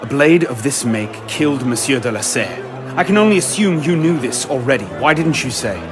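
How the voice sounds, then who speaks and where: A man plays a serious young assassin in a game cutscene. His medium-pitched voice is earnest and understated, with terse delivery over faint music. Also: lively intonation, moderate pace, close to an everyday voice. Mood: guarded, challenging. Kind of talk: video game cutscene acting.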